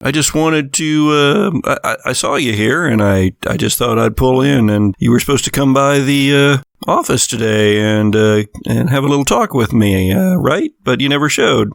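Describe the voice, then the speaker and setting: A man's voice: mid-range voice, expressive, normal speed, gravelly, hardly any pauses, no room sound, studio quality. A man playing a folksy, apologetic small-town deputy in a tabletop horror game, speaking in a hesitant, polite way. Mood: awkward, probing.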